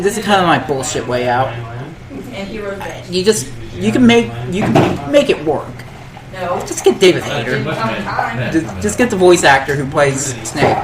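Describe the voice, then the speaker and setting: A man talking casually and with strong opinions on a panel, rambling and often restarting and repeating his phrases. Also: a little high. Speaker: podcast panelist. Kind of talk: casual opinionated chat about games and films. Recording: background crowd chatter.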